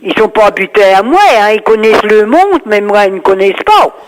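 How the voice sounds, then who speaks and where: A woman's voice: mid-range voice, sing-song, brisk. An older woman in a casual phone conversation, replying in a plain-spoken, rustic way. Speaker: prank call victim. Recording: faintly noisy phone line.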